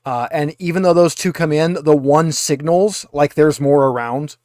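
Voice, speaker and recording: A man's voice: on the high side, moderate pace, darkish tone, dry recording, clean studio sound. A man acting as game master, narrating a tabletop roleplaying game in a hesitant, conversational way.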